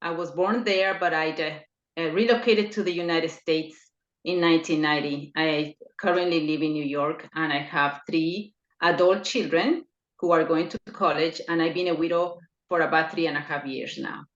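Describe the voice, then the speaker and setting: Low-voiced middle-aged woman from Ecuador. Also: steady tone, moderate pace. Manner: hesitant, with many fillers and restarts. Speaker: podcast guest. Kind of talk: personal interview. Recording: echoey, dull lo-fi remote line.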